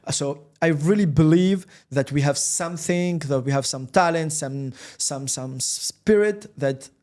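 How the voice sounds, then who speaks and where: Earnest man, slightly higher voice. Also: unhurried. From Tunisia. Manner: earnest, repeats words, warm passion for his roots. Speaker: podcast guest, recruiter. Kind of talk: casual interview talk.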